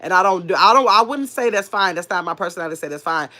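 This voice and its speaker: A woman's voice: fairly low pitch, animated, rapid-fire, pushed and bright. A middle-aged woman with a nasal voice, coming down with a cold, chatting casually on her livestream and answering viewers' comments in a sassy, rambling way. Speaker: livestream host reading chat.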